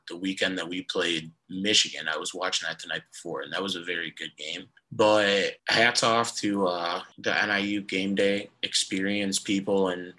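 A young man hosting a casual sports podcast, hoarse from losing his voice, rambling with lots of fillers. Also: fairly low pitch, sharp tone, low-quality recording. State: hoarse, lost voice. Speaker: podcast host.

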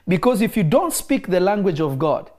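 A man who grew up in Kenya, preaching in a relaxed interview and driving his points home by repeating key phrases. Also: high voice, normal speed. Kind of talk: conversational preaching.

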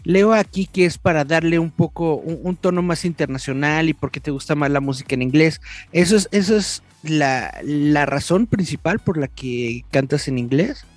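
A man's voice: fairly high pitch, normal speed, faint music behind. A man interviewing a musician, asking long rambling questions in a casual way. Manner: chatty, rambling questions with restarts.

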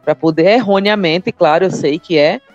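A woman's voice: fairly low pitch, normal speed, darkish tone, dry recording, highs cut off, faint music behind. A woman in her thirties from Maceió with a northeastern Brazilian accent, a comedian telling her story in a casual interview and repeating words for emphasis. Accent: Northeastern Brazilian (Alagoas). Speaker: comedian guest.

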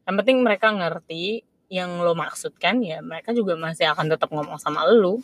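A young woman co-hosting a casual podcast chat, in relaxed slang with English words mixed in. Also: fairly low pitch, a little fast, a little hiss or hum. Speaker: podcast co-host.

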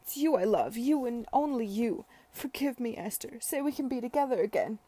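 A woman voicing a drunk, desperate, hot-tempered young gentleman with wailing, plaintive outbursts in a period romance audiobook. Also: on the high side, animated, moderate pace, voice pushed up. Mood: desperate pleading.

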